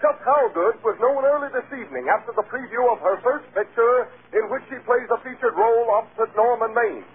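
An actor playing a breezy, gushing Hollywood gossip broadcaster in an old-time radio drama. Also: male voice, very high-pitched, brisk, few pauses, husky, full character voice. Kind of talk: 1930s radio acting. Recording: noisy, tinny old radio recording.

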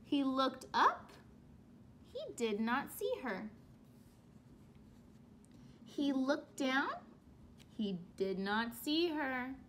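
A young woman teacher reading a children's book aloud and asking questions in an expressive, instructive way. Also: high voice, drawn-out words, long pauses, slight background noise. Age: young adult. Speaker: elementary school teacher. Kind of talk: children's read-aloud lesson. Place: small room at home.

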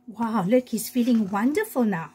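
A woman's voice: a little high, lively intonation, normal speed, warm tone, breathy edge. A woman preschool teacher reading a picture book aloud expressively, with simple asides for young children.

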